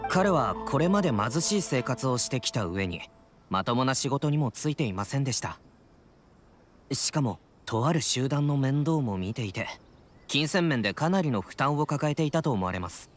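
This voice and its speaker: An actor playing an earnest young samurai guard in an anime game, reporting in a polite official tone. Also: male voice, mid-range voice, natural voice.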